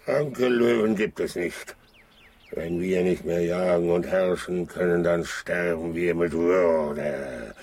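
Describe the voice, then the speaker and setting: Pompous, deep-voiced lion king. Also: a little mellow. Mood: haughty, indignant. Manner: pompous, haughty, drawn-out roaring declamation. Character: proud, blustering old-blooded lion king, pompous husband. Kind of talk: children's radio play acting.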